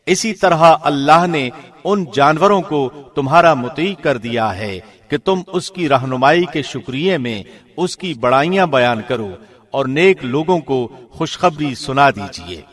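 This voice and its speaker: A man reading a religious translation as scripted narration, in a measured, formal, declamatory radio style. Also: a little high, animated. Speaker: translation reader, radio host. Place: studio.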